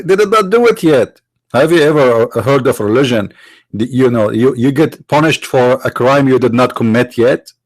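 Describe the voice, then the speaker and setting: A man whose first language is Arabic, from the Middle East, arguing in a sarcastic, mocking tone and slowing down to stress quoted phrases. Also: mid-range voice, no echo. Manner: sarcastic, mocking rhetorical questions. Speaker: apologist speaker. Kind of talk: argumentative lecture.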